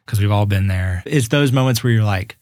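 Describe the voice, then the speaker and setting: Earnest man in his twenties, low dry voice. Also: a little fast, a little mellow, no room sound. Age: 20s. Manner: earnest, reflective, with many restarts. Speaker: guest musician. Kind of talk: casual podcast interview.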